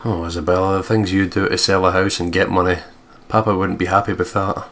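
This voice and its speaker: A man's voice: low-pitched, flat delivery, brisk, a bit bright. A man playing through a game, reading its text aloud and adding sweary, jokey commentary. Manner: sweary, jokey, giggly, puts on mock quotes. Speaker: let's play commentator.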